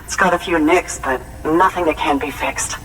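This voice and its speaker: A man's voice: on the high side, normal speed, a bit bright, far from the mic, a little hiss or hum, natural voice, rough recording. An actor playing a nervous, sentimental, courteous man in a video game cutscene, speaking in a hesitant and polite way. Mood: moved, grateful.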